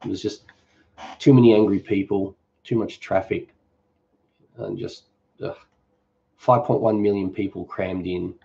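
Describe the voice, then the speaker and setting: A middle-aged Australian man hosting a cooking channel, reading and answering viewer questions in a relaxed, rambling, joking way during a live stream. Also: deep voice, measured pace, vocal fry, long pauses, highs cut off.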